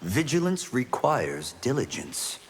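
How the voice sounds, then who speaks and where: A man's voice: mid-range voice, wildly swooping pitch, slow pace, gravelly, full character voice. A man voicing a humble, dutiful young warrior in a video game, sincere and somewhat formal. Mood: sincere, steady. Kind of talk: video game character banter. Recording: echoing hall with background noise.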